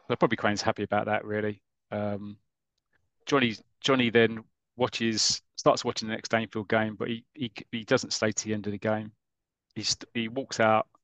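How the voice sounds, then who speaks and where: A man's voice: lowish voice, fairly bright tone, no room sound, muffled recording. A man hosting a podcast, casually retelling a comic story with lots of ums and restarts. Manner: rambling, lots of ums and restarts, self-mocking.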